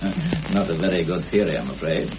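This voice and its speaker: An actor playing a genial, probing middle-aged village police inspector in a British radio drama, hesitant and polite. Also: male voice, sits low, fast talker, close to an everyday voice. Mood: probing, mild. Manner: hesitant, polite, mild questioning with small hums. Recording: dull, hissy old radio drama recording.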